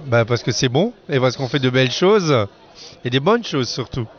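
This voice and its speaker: A winemaker chatting in a relaxed way about discovering new wines. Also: male voice, medium pitch, moderate pace, highs cut off, a little hiss or hum. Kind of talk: casual interview answers. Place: outdoor festival.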